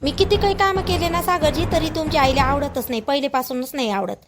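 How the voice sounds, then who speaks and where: A woman acting a very high-pitched, pleading young wife. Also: quick pace, over music, natural voice. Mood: aggrieved, protesting. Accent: Vidarbha Marathi. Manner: pleading, whining, repetitive protest. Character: anxious, aggrieved young pregnant village wife. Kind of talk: amateur cartoon dialogue in rural dialect.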